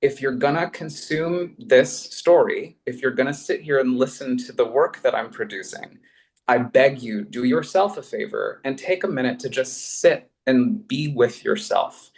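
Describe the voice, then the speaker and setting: A man's voice: mid-range voice, unhurried. A man speaking conversationally in a panel discussion as a podcast producer, effusive and emphatic, thinking aloud in casual slang.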